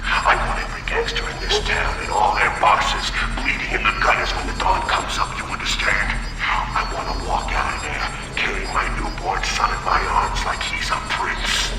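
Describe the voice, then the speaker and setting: An actor playing a vengeful, menacing gangster boss giving grandiose orders in a video game. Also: male voice, fairly low pitch. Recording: very echoey, distant, under loud music.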